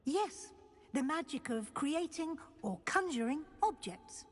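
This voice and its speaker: An actress playing a composed, encouraging middle-aged professor of magic in a video game, speaking in a measured, formal, instructive way. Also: female voice, sits high, expressive. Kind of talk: scripted video game dialogue. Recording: long hall-like reverb.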